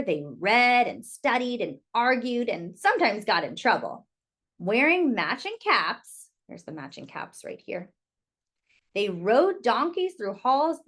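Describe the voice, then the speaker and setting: A woman's voice: fairly high pitch, fairly bright tone. A woman reading a picture book aloud to young children, expressively and with little asides to her listeners.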